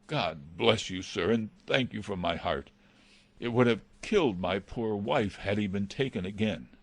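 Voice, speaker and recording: A deep-toned butler played by a man speaks with anxious respect and formal hesitation. He sounds loyal and pleading, as in a classic mystery story, recorded very dry. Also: unhurried, no room sound. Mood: grateful, earnest. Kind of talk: dramatised reading of a classic novel.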